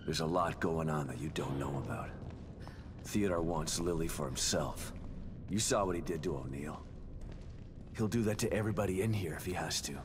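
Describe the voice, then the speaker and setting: A man playing a gruff, weary, determined ex-detective in a survival horror game, speaking tersely and sardonically. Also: low-pitched, normal speed, background noise, close to an everyday voice. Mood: grimly determined.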